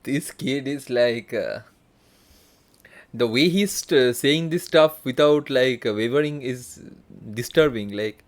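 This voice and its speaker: A game streamer giving casual, halting live commentary on a playthrough. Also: mid-range voice. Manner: halting, murmured reactions. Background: faint game music.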